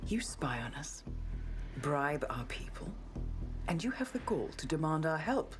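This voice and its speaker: Low-voiced, defiant intelligence handler. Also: female voice, big pitch swings, measured pace. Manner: dry, firm, skeptical. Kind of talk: dramatic game cutscene dialogue. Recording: loud music under the voice. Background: loud cinematic music.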